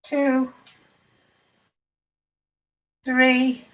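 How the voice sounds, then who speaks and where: A croaky older woman counts slowly through exercise reps, her voice bright and high. She sounds distant from the mic on a dull, lo-fi recording. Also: completely flat delivery. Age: over 50. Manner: counting reps, chatty asides. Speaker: fitness instructor. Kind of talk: leading a seated exercise class.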